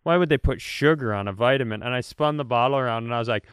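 Man, smooth clear voice, mid pitch, comedy podcast co-host, casual rambling banter, playful exaggeration. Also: fast, no echo, clean studio sound. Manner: self-mocking, rambling storytelling with playful exaggeration.

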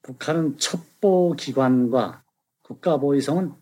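A man from North Korea answering interview questions in Korean, with quick, soft-spoken replies. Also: medium pitch, breathy edge. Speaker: guest, former intelligence officer.

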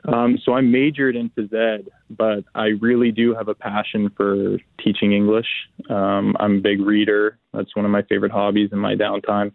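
A young adult man, a football player, answering interview questions by phone in a relaxed, halting way with many restarts and fillers. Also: fairly low pitch, gravelly, no room sound. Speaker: pro football player, guest. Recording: tinny phone line.

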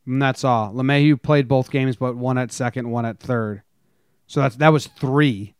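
A man's voice: medium pitch, fairly even intonation, normal speed, clean tone, no room sound. A man co-hosting a sports podcast, talking casually and informally as a fan. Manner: informal, conversational. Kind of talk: casual chat, fan discussion.

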